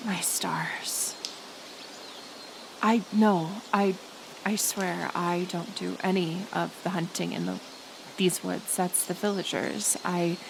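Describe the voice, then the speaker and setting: A woman playing a kind, stubborn healer who lives in the woods, speaking haltingly and earnestly to the listener in a roleplay audio drama. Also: medium pitch. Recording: outdoor nature ambience, insect noise. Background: forest ambience with insects.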